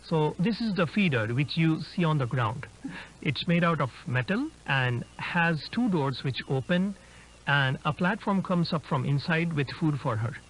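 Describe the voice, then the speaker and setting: A man's voice: on the high side, lively intonation, a little slow, a bit bright, poor audio. A man playing an inquisitive explorer, explaining things conversationally as he films a found-footage drama. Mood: curious, explanatory. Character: inquisitive male urban-explorer filming a dinosaur park. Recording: humming background noise.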